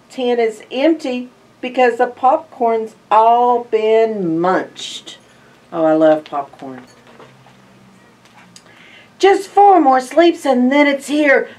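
Older woman reading slowly to children. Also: a little high, far from the mic. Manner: expressive, drawn-out phrases with hushed asides and questions to listeners. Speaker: library storytime reader. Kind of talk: animated picture-book read-aloud to young children. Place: indoor library in front of bookshelves.

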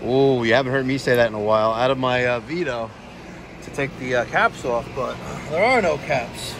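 A man's voice: medium pitch, normal speed. A man in his forties, an HVAC technician, chatting casually to viewers while working on a service call. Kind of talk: first-person service call vlog. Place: outdoors beside AC units at a large house. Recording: background AC and outdoor noise.

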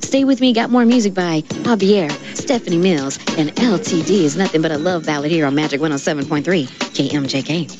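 A woman's voice: low-pitched, fairly quick, hardly any pauses. An American woman working as a radio DJ, announcing between songs. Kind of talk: radio DJ announcing over music. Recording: dull radio aircheck over loud music. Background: music.

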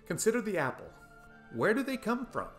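A man's voice: sits high, sing-song, fairly quick, a bit bright, soft background music. A man in his fifties giving a scripted, essay-like talk to camera, asking rhetorical questions with playful emphasis. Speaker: video essayist. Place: small room at home, indoors.

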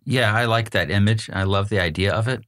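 A man's voice: fairly low pitch, a little fast, a bit bright. A man giving plainspoken opinions as a panelist in a casual literary discussion.

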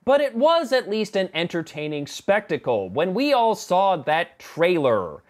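A man's voice: high-pitched, sing-song, measured pace. A man in his thirties giving a conversational movie review to camera in a sarcastic, exasperated manner. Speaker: film review vlogger. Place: small home office room indoors.

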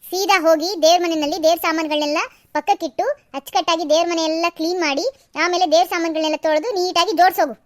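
Woman as a fast-talking, nagging mother-in-law; high voice, dry close sound. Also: fairly even intonation, no room sound. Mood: scolding. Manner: nagging, sharp scolding. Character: stern scolding middle-aged mother-in-law. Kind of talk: Kannada audio drama.

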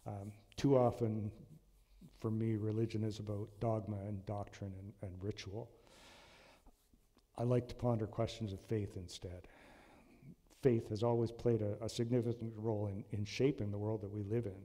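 A man in his sixties giving an earnest, measured sermon from a church lectern, with frequent ums and restarts. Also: on the low side, little pitch movement, mellow. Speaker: lay preacher. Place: church sanctuary. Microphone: lectern mic. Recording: long hall reverb in a church.

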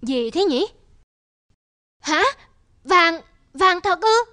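A woman's voice: extremely high pitch, lively intonation, very slow, no room sound, exaggerated voice. A woman voicing a poor, kindly old farmer who talks fondly to his dog in a cartoon. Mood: curious. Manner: gentle, fond toward his dog. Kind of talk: cartoon voice acting. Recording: music playing underneath.

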